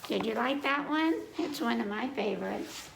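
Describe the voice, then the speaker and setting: An American woman reading a picture book aloud to children, speaking simply and pausing to check in with her young listeners. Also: fairly high pitch, lively intonation, gravelly. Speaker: children's librarian, story time host. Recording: echoey room with faint noise.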